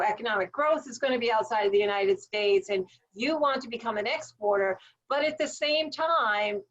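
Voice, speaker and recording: A woman's voice: on the high side, rough recording. A woman on a video call webinar explaining export help in a conversational way, with many fillers. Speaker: trade center assistant director. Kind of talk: webinar panel answer.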